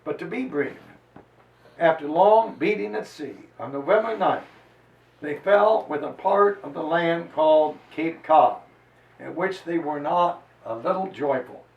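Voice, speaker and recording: A man giving a solemn, theatrical dramatized reading of a historical narrative, declaiming like a preacher with dramatic pauses and swells. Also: on the high side, animated, vocal fry, phone-quality sound. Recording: roomy echo, distant microphone.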